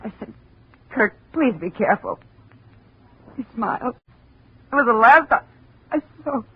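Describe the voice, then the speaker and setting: An actress playing an anxious, grieving widow in a 1950 radio drama, halting and emotional, repeating her phrases. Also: fairly high pitch, lively intonation, husky, exaggerated voice. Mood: grieving, haunted. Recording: muffled old radio recording.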